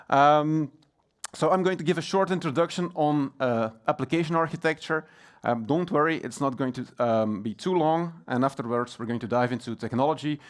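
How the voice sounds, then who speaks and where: A middle-aged Belgian man with a Belgian accent giving a technical conference talk, relaxed and plain, with frequent ums and uhs. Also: mid-range voice. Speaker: conference speaker. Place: conference hall.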